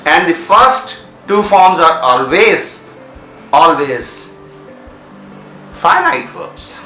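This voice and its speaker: Male English teacher, Pakistani English accent, slow and very animated, repeats himself a lot, distant echoey mic. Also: fairly high pitch, faint music behind. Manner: repetitive, checks understanding with questions. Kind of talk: classroom grammar lecture.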